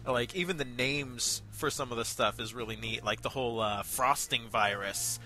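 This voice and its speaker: Very bright-voiced man, halting, over music. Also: medium pitch, rough recording. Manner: hesitant, halting delivery with many pauses. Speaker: co-host of an amateur voice acting review show. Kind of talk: casual chat review. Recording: background music under voice.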